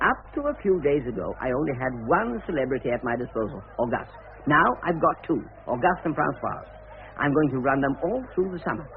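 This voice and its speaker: An actor playing a slick, cynical, domineering publicity promoter in a radio play, with brisk theatrical delivery. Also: male voice, mid-range voice, very animated. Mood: gloating. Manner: brisk, domineering, self-satisfied. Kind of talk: theatrical radio drama acting. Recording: tinny narrow-band old radio recording, faint noise.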